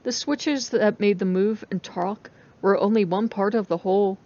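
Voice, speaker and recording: A woman's voice: mid-range voice, moderate pace, mellow, muffled recording. A woman reading a story aloud as a dramatic audiobook narrator. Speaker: story reader.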